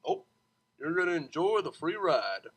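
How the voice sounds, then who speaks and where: A woman's voice: on the low side, big pitch swings, normal speed, breathy edge. A woman voicing a kind, chivalrous male pony carriage driver with an easygoing drawl. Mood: kindly insistent.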